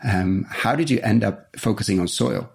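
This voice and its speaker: A man's voice: fairly low pitch, moderate pace, gravelly, breathy edge. A man hosting a podcast, interviewing casually in a chatty way and often repeating words as he goes. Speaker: podcast host.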